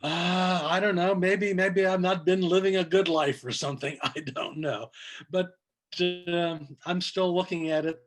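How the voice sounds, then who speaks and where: A man giving a casual report on a video call, halting and hesitant in a self-deprecating way. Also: sits high, fairly even intonation. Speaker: club member giving a technical report.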